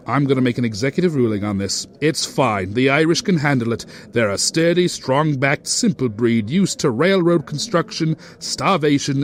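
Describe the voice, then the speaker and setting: An actor playing a pompous narrator of a comedic radio play, given to florid storytelling and fussy asides. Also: male voice, mid-range voice, close to an everyday voice. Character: pompous, easily sidetracked radio-play narrator, smugly tactless.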